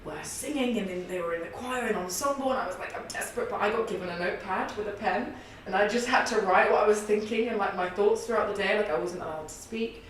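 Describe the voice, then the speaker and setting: A woman in her early thirties with a husky, low alto voice, telling a long story in a rambling, chatty way with many fillers in a podcast interview. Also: quick pace, few pauses, distant mic, a little hiss or hum. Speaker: guest, singer and theatre artist. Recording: echoey room, voice off-mic.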